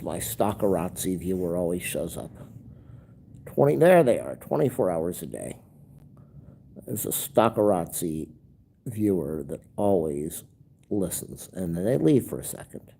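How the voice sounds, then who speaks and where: A man's voice: on the low side, gravelly, darkish tone, slightly rough. A man with a nasal, stuffed-up voice, rambling and grumbling through a casual livestream. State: stuffed-up nose. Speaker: livestream host.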